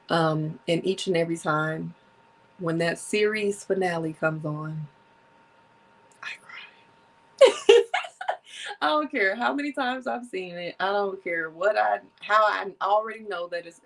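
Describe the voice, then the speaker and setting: Chatty woman in her thirties, gravelly edge. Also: fairly low pitch. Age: 30s. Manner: chatty, animated, laughs often, sips drinks. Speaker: podcast host. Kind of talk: casual solo podcast chat.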